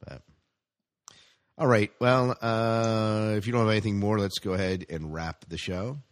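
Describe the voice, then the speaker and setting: Male podcast co-host, low register, bantering and excitable, casual delivery, close dry sound. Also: a little slow, dry recording.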